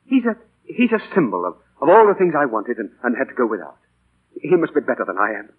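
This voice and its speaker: A man's voice: mid-range voice, wildly swooping pitch, fast talker, slightly rough. A man playing a doting, idealistic father and novelist in 1940s radio drama, speaking earnestly and theatrically, hesitant when moved. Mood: pleading, bitter. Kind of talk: 1940s radio drama acting with diary narration. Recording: muffled old 1940 radio broadcast, music behind.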